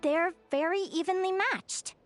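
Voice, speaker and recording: A woman's voice: extremely high pitch, a little slow, faint music behind. A woman playing an earnest, curious young fae girl, a dreamy naturalist in an anime-style game. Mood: cheerful, eager.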